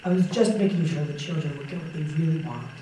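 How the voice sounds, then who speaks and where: A man's voice: on the high side, fast talker, close to an everyday voice. A young man playing a kindly, courteous old Santa Claus in a radio-style stage drama. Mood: gently assured. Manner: gentle, earnest, courteous. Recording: very echoey hall, distant mic, faint noise.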